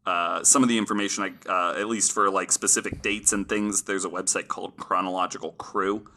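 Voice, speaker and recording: A man with a fairly low, bright and forceful voice hosts a podcast. He tells stories from his notes in a casual, informal way and is happy to swear. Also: fairly even intonation, fairly quick, slight background noise. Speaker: podcast host and storyteller.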